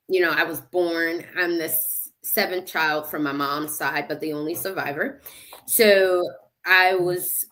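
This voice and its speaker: A woman's voice: lowish voice, pushed and bright. A woman from Connecticut, a podcast guest, telling her life story in a reflective, faith-centered way. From United States (Connecticut). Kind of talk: personal storytelling in conversation.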